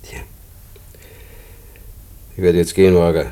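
Radio drama casting: kindly, gruff prison inspector, deep dark voice, matter-of-fact and patient. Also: male voice, little pitch movement, a little slow, slight background noise. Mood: coaxing, patient. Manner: plainspoken, coaxing, matter-of-fact. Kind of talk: postwar German radio play acting.

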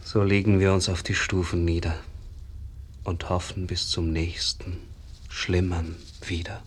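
An actor playing an anguished, awestruck disciple of a dying master in a 1950s radio drama, in hushed, mournful verse. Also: male voice, deep voice, little pitch movement, measured pace, slightly rough. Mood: weary dread.

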